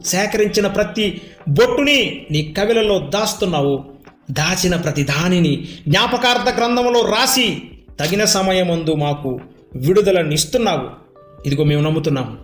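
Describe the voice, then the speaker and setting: High-pitched male preacher in echo. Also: fairly bright tone. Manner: emphatic, repetitive exhortation with rhetorical questions. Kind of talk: sermon and prayer. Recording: echoey, with music behind. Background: music.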